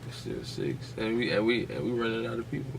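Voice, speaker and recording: A man's voice: medium pitch, big pitch swings, fairly quick, vocal fry, slight background noise, poor audio. An American man co-hosting a podcast, debating sports in a casual, combative way, throwing out challenges and swearing freely. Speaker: podcast host.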